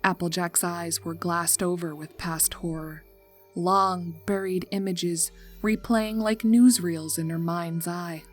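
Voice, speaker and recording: A woman narrator reading a scripted horror story in a measured, dramatic way. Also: lowish voice, fairly even intonation, soft background music.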